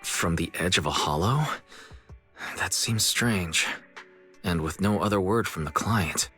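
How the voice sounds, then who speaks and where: Bright-voiced, easygoing young guy. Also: low voice, measured pace. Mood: puzzled. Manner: polite, conversational. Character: kind, earnest young male shopkeeper and secret guide, easygoing. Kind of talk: video game voice acting. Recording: music under the voice.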